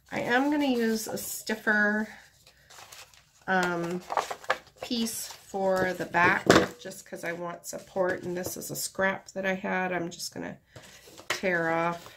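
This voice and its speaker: Casual craft host, woman, stuffy-nosed, gravelly low voice, unhurried rambling delivery, noisy tabletop recording. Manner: chatty, rambling, relaxed while working with her hands. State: stuffy nose from a cold. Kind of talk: casual craft tutorial talk. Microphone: camera above a tabletop, hands only.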